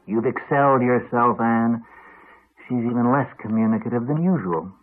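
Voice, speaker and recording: An actor playing a cruel, sardonic husband who needles his wife in measured tones, in the style of 1980s radio drama. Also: mid-range voice, lightly acted. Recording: narrow-band 1980s radio recording, phone-like.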